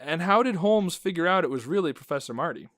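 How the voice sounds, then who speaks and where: A man's voice: fairly high pitch, animated, quick pace, fairly bright tone, dry recording, studio quality. A man hosting and announcing a scripted radio drama in an interviewer's conversational style. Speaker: host and announcer.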